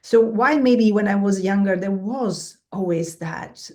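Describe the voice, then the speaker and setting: A woman's voice: medium pitch, mellow. Reflective middle-aged woman, mindfulness teacher. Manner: measured and reflective, with pauses and restarts. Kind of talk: reflective interview answers.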